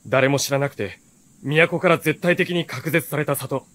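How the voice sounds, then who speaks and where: An actor playing an earnest, sharp-witted teenage boy who is the hero and narrator of a radio drama. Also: a little high, moderate pace, lightly acted. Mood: earnest, reasoning. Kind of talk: radio drama acting with first-person narration.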